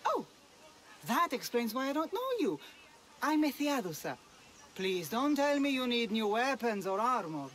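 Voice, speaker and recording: An actress playing a young, self-doubting blacksmith's daughter in a video game, wry and hesitant. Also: female voice, fairly high pitch, very animated, measured pace. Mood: grumbling, reluctant. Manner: wry, hesitant, grumbling, sighing. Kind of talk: video game cutscene acting.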